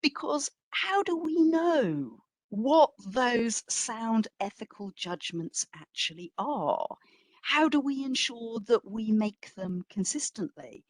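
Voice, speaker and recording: A woman curator giving a conversational history lecture, with deliberate, emphatic phrasing and frequent asides. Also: medium pitch, no echo. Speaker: museum curator giving a talk.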